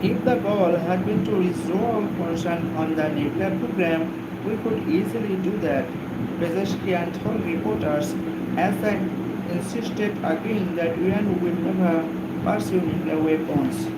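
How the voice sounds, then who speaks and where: A man with a Bangladeshi accent and a fairly high-pitched voice hosts a live stream. He alternates reading news with greeting chat viewers, halting and repeating himself. The recording is very noisy, with a heavy hum behind him. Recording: very noisy, steady background hum.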